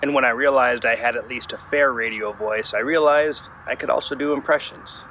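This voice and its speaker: A man delivering absurd comic monologues in a deadpan, self-mocking way. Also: mid-range voice, moderate pace, a little hiss or hum, low-quality recording. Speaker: comedy writer and performer.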